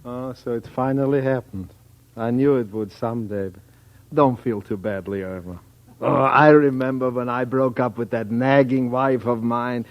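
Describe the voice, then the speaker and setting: An actor playing a jolly, eccentric older professor in an old radio sitcom, playful and joking, with skewed grammar. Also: male voice, medium pitch, normal speed, close to an everyday voice. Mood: consoling. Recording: muffled, noisy old radio recording.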